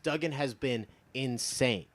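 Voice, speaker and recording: A man's voice: mid-range voice, a little slow, a bit bright. A man in his twenties with an American accent, chatting casually about sports as a show guest, emphatic, opinionated and loose with swearing. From United States, born in Texas and from Iowa.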